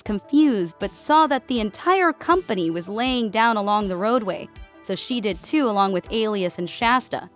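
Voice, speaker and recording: A woman reading scripted narration as an audiobook narrator. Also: a little high. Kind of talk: scripted narration of a sci-fi war story. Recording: music under the voice. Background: background music.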